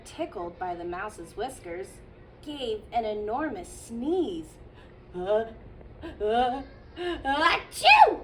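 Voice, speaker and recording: A childcare teacher, a woman, reading a picture book aloud to children in a gentle storytelling manner with playful asides. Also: high-pitched, distant mic, a little hiss or hum, poor audio.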